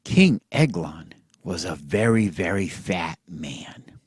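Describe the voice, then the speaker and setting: A man telling a satirical bedtime story as if to children, in a theatrical, mock-earnest way with drawn-out words and hushed drops. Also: sits low, expressive, husky, dry recording, clean studio sound.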